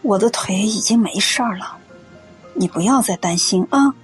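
一位女演员在广播剧里饰演和善、沉稳、宽容的中年阿姨，声音带着气声。. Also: female voice, mid-range voice, moderate pace, over music, close to an everyday voice. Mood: gentle. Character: kindly, calm, forgiving middle-aged auntie. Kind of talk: audio drama acting.

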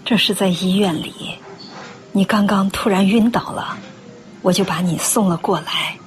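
一位女演员在广播剧里饰演和善、沉稳、宽容的中年阿姨，声音带着气声。. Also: female voice, mid-range voice, normal speed, faint music behind, lightly acted. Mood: gentle. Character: kindly, calm, forgiving middle-aged auntie. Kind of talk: audio drama acting.

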